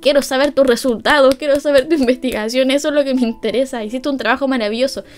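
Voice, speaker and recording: A woman's voice: high-pitched, steady tone, quick pace. A young woman with a Chilean accent hosting a podcast, telling a story casually, laughing often and acting out what people said. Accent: Chilean Spanish. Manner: chatty, laughs often, acts out quoted lines. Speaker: podcast host.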